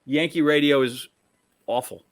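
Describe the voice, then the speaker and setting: A man's voice: a little high, dry recording. Rambling middle-aged man, dryly recorded. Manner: rambling, halting phrasing with repeated words. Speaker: podcast co-host and TV sportscaster. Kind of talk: casual opinionated sports chat.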